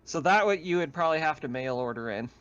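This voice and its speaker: A man's voice: a little high, animated, fast talker, a bit bright, muffled recording. A man explaining game details conversationally as the game master of an online tabletop session. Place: online voice call.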